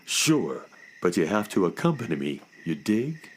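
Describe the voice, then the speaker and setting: A man's voice: deep voice, sing-song, unhurried, slight background noise. An actor playing a laid-back, poetic beatnik cat father in a children's radio drama, with languid hip slang.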